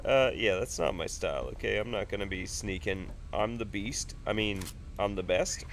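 A congested man in his thirties talks his way through a video game at his desk, his voice thick and nasal from a sinus cold. He rambles, jokes and curses casually in a lowish register. A faint hiss sits under the recording. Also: moderate pace. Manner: rambling, jokey, swears freely. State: sick with stuffy sinuses, nasal. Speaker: gaming YouTuber. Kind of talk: casual let's play commentary. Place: home room at a desk.

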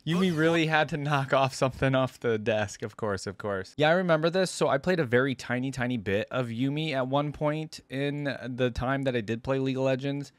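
A man's voice: mid-range voice, fairly quick, few pauses, no room sound. A young adult man giving casual, conversational reaction commentary as a video host, musing as he goes. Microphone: close desk microphone. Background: faint music from the watched video.

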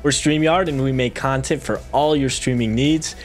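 A man in his thirties hosting a software tutorial, speaking in a friendly, direct way to viewers into a close microphone. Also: mid-range voice, moderate pace, soft background music. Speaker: video tutorial host. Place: small room indoors at a desk. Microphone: close desk microphone with headset on.

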